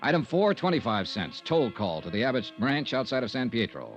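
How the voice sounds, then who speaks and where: An actor playing a wry, unflappable insurance investigator in old-time radio detective style, dry and laconic. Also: male voice, medium pitch, sing-song, fairly quick, soft background music, natural voice. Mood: brisk, matter-of-fact. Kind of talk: old-time radio detective acting, first-person narration. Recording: muffled vintage radio recording.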